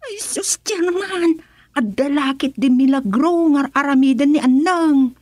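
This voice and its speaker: A woman's voice: sits high, unhurried, darkish tone, no room sound, studio quality. A woman playing a gossipy, slyly suspicious village woman in a comedic radio drama.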